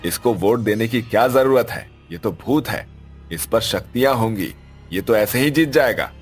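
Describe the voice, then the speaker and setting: A man's voice: lowish voice, lively intonation, normal speed, faint music behind. A man playing a corrupt rival politician in a cartoon story, scornful and taunting. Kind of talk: Hindi cartoon story dialogue.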